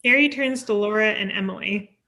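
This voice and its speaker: A woman's voice: mid-range voice, a little fast, pushed and bright. A woman reading stage directions as scripted narration at a table read.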